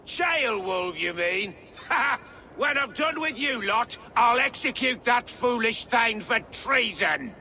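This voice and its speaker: A man's voice: extremely high pitch, expressive, takes it slowly, sharp tone, slight background noise, exaggerated voice. An actor playing a proud, wrathful Saxon king who declaims pompously at invaders in a video game. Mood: mocking contempt.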